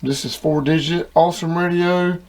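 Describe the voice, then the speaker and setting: A man with a somewhat high-pitched voice hosts a hobby demonstration. He talks informally and plainly, with clear enthusiasm for the gear he is explaining. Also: fairly even intonation, normal speed, darkish tone. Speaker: CB radio hobbyist and video host. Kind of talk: casual product demonstration.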